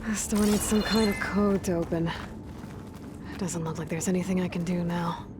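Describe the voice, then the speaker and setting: An actress playing a young woman hunter in a video game, talking calmly and thoughtfully. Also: fairly low pitch, moderate pace, over music, natural voice. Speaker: protagonist.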